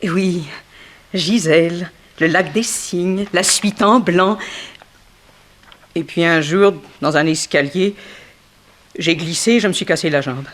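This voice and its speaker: An actress playing a bitter, sharp-tongued former ballerina in a 1960s French radio detective play, dramatic and insinuating. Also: female voice, lowish voice. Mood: wistful. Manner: dramatic, insinuating, swinging from slow emphasis to outbursts.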